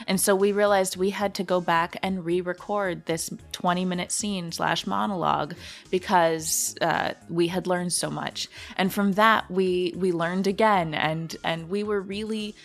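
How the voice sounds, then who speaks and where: A woman's voice: fairly low pitch, fairly even intonation, a little slow, faint music behind. A woman chatting casually as a podcast guest about her creative work, earnest and enthusiastic. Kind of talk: casual interview chat.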